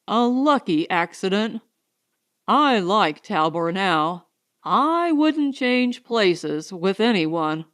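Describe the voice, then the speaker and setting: A woman voicing a steady, contented male colonist with a measured, plain-spoken manner. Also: medium pitch. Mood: firm, contented.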